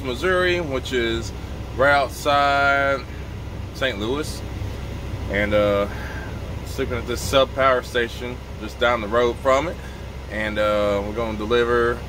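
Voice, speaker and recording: A man's voice: mid-range voice, background noise. A man in his thirties, a truck driver, chatting casually to camera from his sleeper cab in a laid-back way, with plenty of "y'all". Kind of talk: casual vlog talk to camera.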